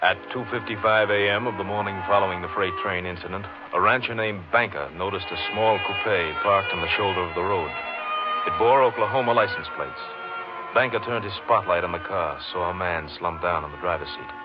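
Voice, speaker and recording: A man's voice: deep voice, brisk, over loud music. A man reading scripted narration as the narrator of a 1950s radio drama. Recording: muffled old radio recording.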